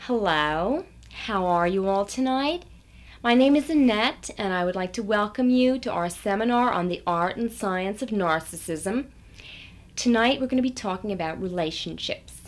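Woman acting a patronizing seminar leader, medium pitch. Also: lively intonation, normal speed, natural voice. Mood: coaxing, condescending. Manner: patronizing, coaxing the audience. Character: brisk, condescending woman leading a narcissism seminar. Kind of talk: satirical seminar lecture.

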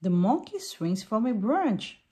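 A woman reading a picture book aloud for young children in deliberate, simple sentences. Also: on the high side, very animated, noisy background.

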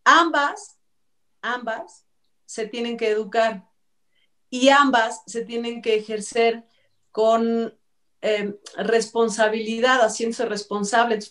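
A woman's voice: on the high side, fairly even intonation, a little slow. A middle-aged woman with a Mexican accent giving emphatic, colloquial opinions as a panelist on a video call. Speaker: talk show panelist. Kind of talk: casual opinionated panel chat. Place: bright living room at home. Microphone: computer mic on video call. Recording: distant mic on video call.

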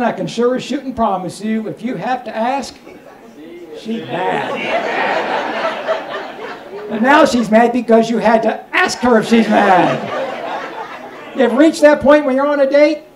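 A man's voice: very high voice, a little slow, over a noisy crowd. Man, middle-aged, stand-up storytelling, handheld mic, echoey club, noisy recording. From Kentucky, United States. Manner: storytelling delivery, projected to the room. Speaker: stand-up comedian. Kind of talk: stand-up comedy routine with crowd work. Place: small comedy club stage, indoors. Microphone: handheld microphone.

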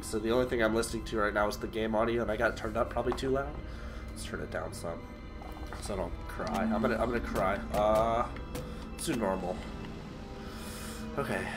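A young man with a stuffy, sick-sounding nose narrates a horror game, jittery and nervous. He yelps and laughs as he talks into a headset mic at home. Game music plays clearly behind him. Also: on the low side. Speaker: gaming channel host. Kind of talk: horror game let's play commentary.

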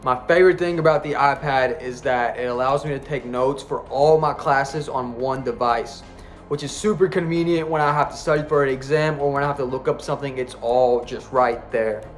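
A young college man from Kentucky talks in a medium voice, calm and unhurried. It sounds like a prepared testimonial, with soft music faintly underneath. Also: mid-range voice. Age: young adult. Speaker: student. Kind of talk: scripted testimonial.

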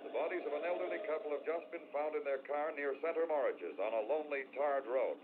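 Rapid, urgent old-time radio newsman. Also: on the high side. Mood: grave, urgent. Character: urgent, grave radio news announcer reading bulletins. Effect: car radio speaker. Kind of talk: 1940s radio newscast acting. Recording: tinny, noisy old radio.

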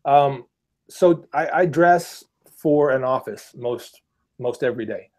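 A man in his thirties on an earbud mic over a video call, explaining things in a relaxed, measured way as a podcast guest. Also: mid-range voice. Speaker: podcast guest, gun channel creator. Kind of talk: casual podcast chat. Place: small room at home, indoors.

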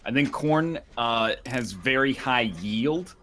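A man's voice: medium pitch, takes it slowly, fairly bright tone, slight background noise. A man from Canada trading casual banter while playing a game with friends.

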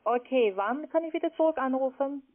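A woman's voice: high-pitched, a little fast. A woman with a foreign accent in German, a non-native speaker making a cold sales call. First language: not German. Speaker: call centre caller. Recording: narrowband telephone line.

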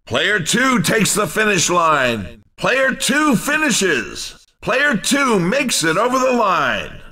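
Cheesy video game race announcer, male, excitable, emphatic, very animated pitch, bright forceful tone. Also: slow, far from the mic, full character voice. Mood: excited. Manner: excitable, emphatic, punchy delivery. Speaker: race commentator. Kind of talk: video game sports commentary.

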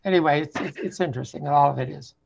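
Guy on a video call, gravelly creaky voice, wry and kinda hesitant. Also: fairly high pitch, fast talker, darkish tone, highs cut off. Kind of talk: casual chat at an online talkback. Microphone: video call microphone.

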